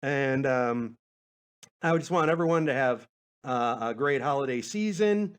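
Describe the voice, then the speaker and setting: A man's voice: mid-range voice, expressive, measured pace, clear, resonant tone. A man hosting a podcast, chatting casually in a laid-back, informal, rambling way. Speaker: podcast host. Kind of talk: casual rambling solo podcast sign-off chat.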